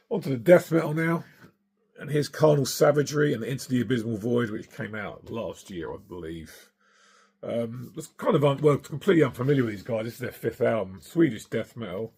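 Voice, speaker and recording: A middle-aged man with a hoarse, husky voice from singing, chatting casually and enthusiastically about records he has collected, with plenty of ums and uhs. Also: medium pitch, animated, fairly quick, darkish tone. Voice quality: gravelly, husky. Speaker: vinyl collector vlogger.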